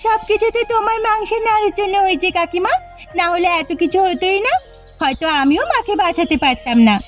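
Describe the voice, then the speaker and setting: A woman playing a gentle, hurt young daughter-in-law in an animated family drama, pleading earnestly. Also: very high-pitched, fairly quick, music in the background. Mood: tender, earnest.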